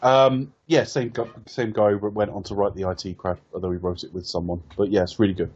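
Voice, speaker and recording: A man's voice: on the low side, level tone, a little fast, a little mellow, slightly rough. A man from Ireland hosting a casual film review podcast, rambling and wry, often correcting himself. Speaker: podcast host. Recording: dull lo-fi podcast audio.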